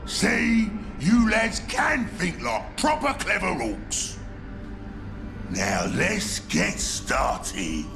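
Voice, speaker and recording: A man's voice: high voice, huge pitch swings, drawn-out words, vocal fry, heavily acted. A man voicing a brutish, bossy ork warboss in a video game, barking orders in crude slang. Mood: commanding, scheming. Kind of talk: video game villain acting. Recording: voice under loud game music.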